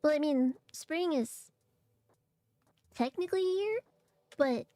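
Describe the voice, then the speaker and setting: A young woman streamer chatting casually and rambling with fillers, her voice a little hoarse and raspy from allergies. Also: high voice, lively intonation, slow, warm tone, no echo. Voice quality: raspy, phlegmy throat. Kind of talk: casual chat to stream viewers.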